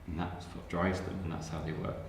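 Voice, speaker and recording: A man making a point on an academic panel, explaining briskly and steadily. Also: deep voice, level tone, a little hiss or hum. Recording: roomy hall with long reverb.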